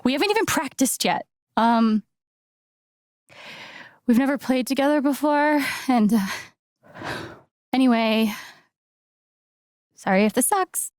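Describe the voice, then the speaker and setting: A woman's voice: high voice, lively intonation, slow pace, long pauses, darkish tone, no room sound. A young woman in a story game, takes her time, casual and earnest, caring but determined, really dry recording. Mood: nervous. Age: young adult. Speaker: voice actress in a narrative video game. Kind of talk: naturalistic game dialogue.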